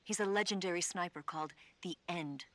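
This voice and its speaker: A woman's voice: on the low side, a little fast, a bit bright, close to an everyday voice. An actress playing a cool, confident female spy giving a briefing in a video game, assured and matter-of-fact. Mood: cool, urgent. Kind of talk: video game radio-call dialogue acting.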